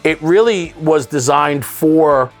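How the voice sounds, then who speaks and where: A man's voice: a little high, slow, soft background music. A man hosting a studio interview, explaining things in an enthusiastic way. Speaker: show host interviewing a guest. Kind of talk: studio interview about fire apparatus.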